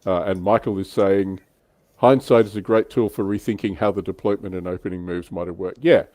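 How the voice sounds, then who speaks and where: A man with a fairly low voice, recorded close and dry. He hosts a casual hobby livestream, talking conversationally and readily poking fun at himself. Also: steady tone, fairly quick, a little mellow, dry recording. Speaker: livestream host. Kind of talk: casual hobby chat about tabletop wargames.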